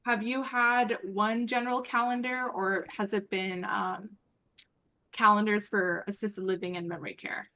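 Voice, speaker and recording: Woman walking coworkers thru stuff on a call, casual n upbeat, explains as she goes, mid-pitched voice. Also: steady tone, unhurried, sharp tone. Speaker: meeting presenter and program coordinator. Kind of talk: informal work call presentation with screen walkthrough. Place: online group call.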